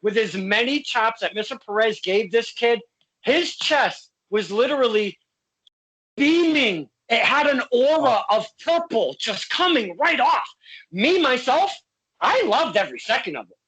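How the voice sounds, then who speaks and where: A man's voice: very high-pitched, big pitch swings, a little slow, fairly bright tone, breathy edge, low-quality recording. A man from Connecticut hosting a casual interview podcast, boisterous and playful, swearing freely. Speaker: podcast host.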